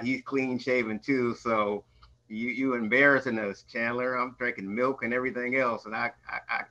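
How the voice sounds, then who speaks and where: A man's voice: mid-range voice, bright, forceful tone. An American man informally hosting an online event from an office over a video call. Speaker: event host. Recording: slightly dull video-call audio.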